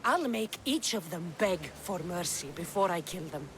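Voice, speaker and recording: A woman's voice: lowish voice, lively intonation, moderate pace, background noise, natural voice. A woman playing a determined, vengeful young Greek mercenary in a video game, with terse, resolute delivery. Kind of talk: video game cutscene acting.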